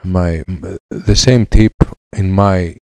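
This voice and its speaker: A man in his thirties with a Greek accent, a repair technician explaining a laptop fix in halting English with many fillers, on a headset mic in his lab. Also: low voice, fairly even intonation, a little mellow. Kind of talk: hands-on repair tutorial. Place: electronics repair lab, indoors.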